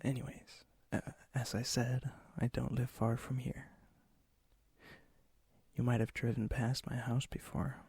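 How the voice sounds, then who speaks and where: A man playing a lonely, gently teasing vampire in an ASMR roleplay, with a soft, breathy voice that often drops into a whisper. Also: medium pitch, flat delivery, dry recording, full character voice. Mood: shy, friendly. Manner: slow, intimate, hesitant, gently teasing.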